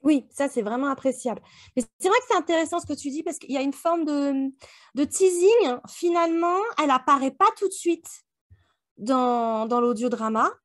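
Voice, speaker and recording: Woman, high voice, very dry recording, reflective casual book discussion. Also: normal speed, no room sound. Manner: reflective, poses rhetorical questions. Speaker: book club podcast co-host.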